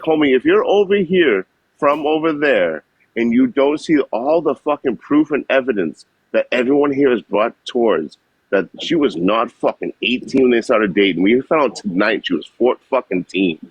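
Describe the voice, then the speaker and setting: A man's voice: mid-range voice, animated, moderate pace, slightly rough, no echo, dull audio. A man on a live stream, ranting angrily with lots of swearing. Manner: profane, heated ranting.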